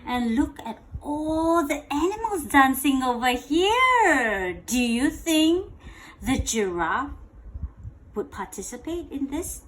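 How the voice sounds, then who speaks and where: A woman reading a picture book aloud to a child in a playful, expressive way, drawing out words and asking questions. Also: sits high, takes it slowly, slight background noise, low-quality recording. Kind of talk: animated bedtime read-aloud with questions to a child.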